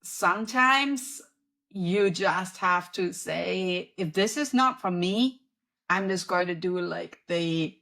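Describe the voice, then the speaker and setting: A woman's voice: on the low side, slow, gravelly, fairly bright tone. A woman from Germany with a German accent, a coach telling a story as a guest on a video-call interview and acting out her own reactions. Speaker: guest, business and wellness coach. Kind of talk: casual interview talk.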